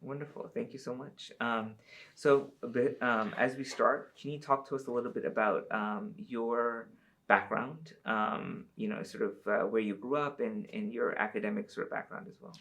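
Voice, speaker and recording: A man's voice: mid-range voice, steady tone, moderate pace, fairly bright tone. A man hosting a podcast interview, asking questions in a hesitant way with many fillers.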